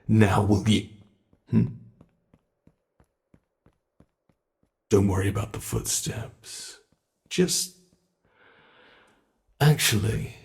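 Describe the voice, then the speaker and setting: Man playing a slow, gravelly, taunting monster. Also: fairly low pitch, a little mellow, full character voice. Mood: teasing menace. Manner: theatrical, deliberate, mock-polite taunting. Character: menacing, taunting male monster who toys with captives. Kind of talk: ASMR roleplay acting.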